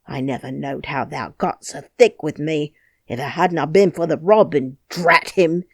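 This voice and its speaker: A woman voicing an angry old male gardener, scolding loudly in broad dialect, in an audiobook reading. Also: lowish voice, very animated, moderate pace, no room sound, studio quality. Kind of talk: audiobook character voice.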